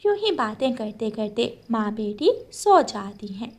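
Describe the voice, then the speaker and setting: A woman's voice: fairly high pitch, very animated, measured pace, darkish tone. A woman storyteller reading scripted narration for a children's cartoon moral tale.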